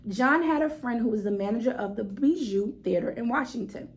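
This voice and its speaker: A woman reading a picture book aloud to children in an expressive way, stretching words for emphasis and adding asides to her young listeners. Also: on the high side, fairly quick. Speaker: read-aloud storyteller for children.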